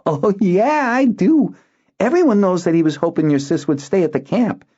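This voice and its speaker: A man voicing an excitable young boy, pitching his voice high and sounding breathless and wide-eyed. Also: normal speed, breathy edge, dull audio. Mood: eager. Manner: breathless, wide-eyed, boastful about dragons. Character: eager excitable young boy on lookout duty.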